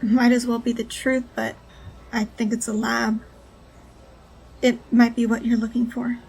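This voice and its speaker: High-voiced, wary female informant. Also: little pitch movement, unhurried, vocal fry, a little mellow, low-quality recording. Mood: wary, matter-of-fact. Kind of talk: audio drama dialogue.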